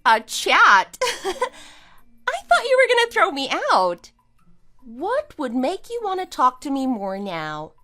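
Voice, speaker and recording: A woman's voice: sits high, wildly swooping pitch, takes it slowly, sharp tone, faint music behind, full character voice. A woman playing a scornful, triumphant wife in an audio drama, with a sarcastic, emphatic delivery. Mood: scornful, mocking. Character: wronged wife, scornful and triumphant, kicking out cheating husband. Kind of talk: amateur audio drama acting.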